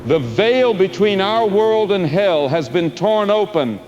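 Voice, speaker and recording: An actor playing a solemn, portentous preacher who has survived a plague, delivering a dramatic radio sermon in an audio drama. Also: male voice, sits high, animated, takes it slowly, a little mellow, muffled recording. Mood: dire, fervent. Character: apocalyptic preacher, a grave male survivor of a plague. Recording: long cavernous reverb with music underneath.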